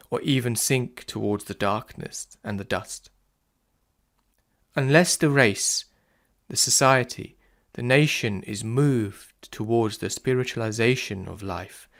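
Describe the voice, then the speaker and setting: A man giving a solemn scripted reading of philosophical writing, measured and declamatory, leaning firmly on key words. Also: mid-range voice, creaky. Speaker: reader.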